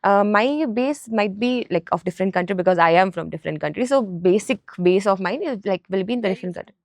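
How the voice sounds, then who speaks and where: A woman from Nepal speaks in a casual, explanatory way, as a guest in an interview. Her pitch is average for a woman, and the recording is dry with very little room sound. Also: expressive, fast talker, gravelly, dry recording. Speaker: food truck business co-founder, podcast guest.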